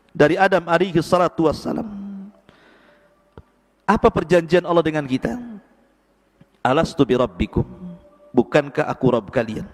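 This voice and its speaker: Guy preaching, high voice, lots of reverb, swings up high then drops low and slow for recitation. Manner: animated, swings between high emphatic lines and low slow Arabic recitation. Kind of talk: sermon to a congregation. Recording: echoey hall.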